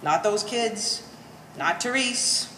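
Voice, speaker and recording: A woman's voice: medium pitch, expressive, slow, a bit bright. A woman playing a bitter, sardonic daughter in a stage play, speaking in pompous, precise big words. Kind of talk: one-act stage play performance. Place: art gallery, live staged reading. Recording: reverberant gallery hall, faint hiss.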